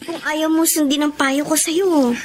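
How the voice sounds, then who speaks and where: A woman's voice: high voice, steady tone, measured pace, slight background noise. An actress playing a young woman secretly in love with her friend, speaking in an emotional, pleading way in a radio drama. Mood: urging. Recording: music score behind. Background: music.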